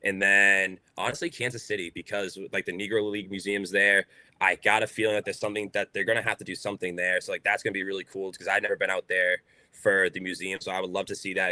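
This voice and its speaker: A man's voice: sits low, flat delivery, fast talker, sharp tone, no room sound. A young man from Boston with a Boston accent, a baseball player talking casually in a podcast interview, outspoken and full of fillers.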